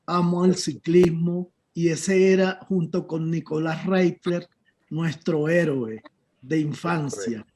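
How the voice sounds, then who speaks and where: A man from Táchira, Venezuela, moderates a panel discussion over a video call. His voice sits fairly high but sounds mellow and soft-edged, and he asks his long questions in a relaxed, conversational way. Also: slow.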